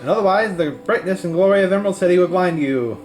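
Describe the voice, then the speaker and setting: An officious little gatekeeper from a storybook, put on by a man, formal and announcing. The pitch is pushed well up, over faint music. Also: animated, quick pace, a little mellow. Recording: music behind the voice.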